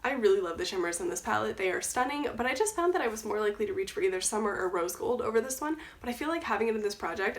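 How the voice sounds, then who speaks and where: A young woman talks quickly and casually into her camera, her voice stuffy and nasal from a cold. She chats through her makeup update, often restarting a phrase. Her pitch sits in a middle range. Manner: chatty, quick, self-correcting. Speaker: beauty vlogger.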